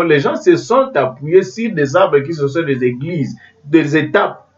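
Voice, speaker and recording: A man preaching in a language that is not his first, his voice fairly high and swinging widely in pitch as he presses each point. He restarts phrases and keeps checking that his listeners follow. The recording sounds dull and lo-fi, caught from some distance away. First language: not French. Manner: emphatic, repeats and restarts, asks listeners if they understand. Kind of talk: sermon.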